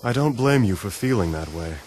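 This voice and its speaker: Man acting a low, expressive, melancholy dreamer. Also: fairly quick, a little hiss or hum, close to an everyday voice. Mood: melancholy, gentle. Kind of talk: video game voice acting. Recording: background music under voice.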